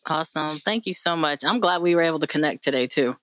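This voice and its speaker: A woman's voice: deep voice, fast talker, a bit bright, no room sound. A woman hosting a casual discussion show, chatting in an effusive, appreciative way.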